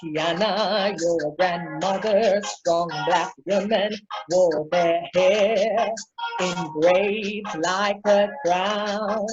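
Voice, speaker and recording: A woman's voice: medium pitch, fairly even intonation, extremely slow. A woman performing a children's sing-along, chanting rhythmically. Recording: dull sound under clear music. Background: music.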